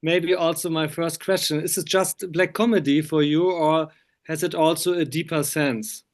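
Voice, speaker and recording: Smooth-voiced German man interviewing, haltingly. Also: on the high side, fairly even intonation. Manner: halting, hesitant non-native English. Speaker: interviewer, film critic and magazine publisher. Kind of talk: conversational film interview.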